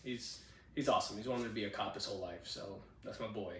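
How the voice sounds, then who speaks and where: A man's voice: on the low side, fast talker, echoey room, far from the mic, slight background noise. A man in his twenties, born in Cuba, chatting casually on a home podcast with a handheld mic in a spare bedroom. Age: 20s. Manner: laid-back, chatty, frequent "you know what I'm saying". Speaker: podcast host. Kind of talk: casual personal storytelling.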